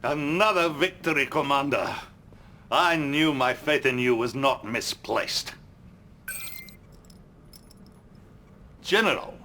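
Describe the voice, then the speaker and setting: A man playing a smooth, scheming Soviet premier addressing his commander. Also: mid-range voice, unhurried, pushed and bright, a little hiss or hum. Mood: approving.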